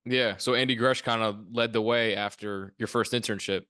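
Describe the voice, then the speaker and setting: Low-voiced young male podcast host. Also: steady tone, moderate pace, bright, forceful tone, clean tone, no room sound, studio quality. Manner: rambling questions with many fillers. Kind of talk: casual interview questions.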